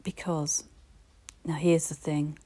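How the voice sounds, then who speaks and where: A woman with a British accent giving a reflective solo podcast talk, gently encouraging and speaking straight to the listener. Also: sits low, little pitch movement, slow pace. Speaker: podcast host.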